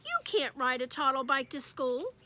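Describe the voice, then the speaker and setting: A woman's voice: sits high, very animated, normal speed, sharp tone, exaggerated voice. A woman voicing an exasperated sixth-grade boy, emphatic and protesting, in a storybook. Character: exasperated sixth-grade boy, big brother narrating his family.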